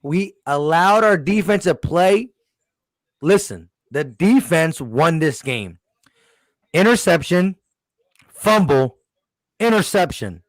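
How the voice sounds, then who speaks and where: A man's voice: a little high, animated, slow pace, long pauses, no room sound, rough recording. A man hosting a postgame sports talk show, emphatic and insistent, nearly losing his voice. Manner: emphatic, repeats "listen". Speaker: sports podcast host.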